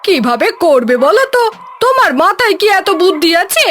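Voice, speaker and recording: A woman voicing a doubtful, sharp-tongued farmer's wife in a cartoon. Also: very high-pitched, very animated, a little fast, background noise.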